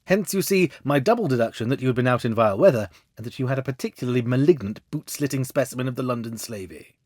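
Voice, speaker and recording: A man voicing a cool, self-assured gentleman detective in a storybook reading, with a precise, analytical and gently condescending manner. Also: medium pitch, expressive, quick pace, no room sound, studio quality, close to an everyday voice. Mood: confidently explaining. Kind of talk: storybook character dialogue.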